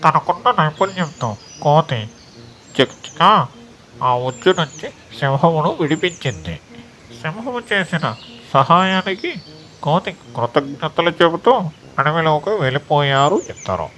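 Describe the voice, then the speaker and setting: A man's voice: fairly high pitch, expressive, unhurried, a bit bright, a little hiss or hum. A man narrating a story for children as its storyteller.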